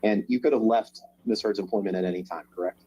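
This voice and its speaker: A man questioning a witness in court, in measured, formal phrases. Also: lowish voice, dark tone, slight background noise, poor audio. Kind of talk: courtroom examination.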